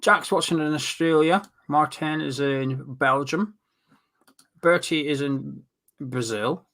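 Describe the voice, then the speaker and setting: A man's voice: fairly high pitch, takes it slowly, fairly bright tone. A man co-hosting a casual live football chat, reading out viewer comments and teasing his co-host. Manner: reads out comments, teasing banter.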